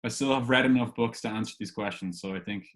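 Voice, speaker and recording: A man's voice: on the low side, fast, a bit bright. A man hosting a casual interview, putting informal questions in a tentative, hesitant way. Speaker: podcast host, interviewer.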